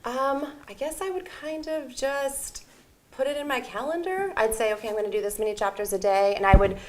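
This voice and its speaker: A woman's voice: high voice, a little fast, a little hiss or hum. A young woman chatting as an interview guest, talkative and giggly, with many fillers. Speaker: interview guest, audiobook narrator. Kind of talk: casual interview chat.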